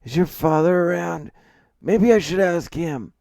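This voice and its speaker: Guy doing a grumpy old plumber guy for an audiobook, raised pitch, touchy and scolding. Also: unhurried, vocal fry, a little mellow, dry recording, studio quality. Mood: dismissive. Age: older. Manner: indignant, scolding. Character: cranky gray-bearded semi-retired old plumber, touchy and stubborn.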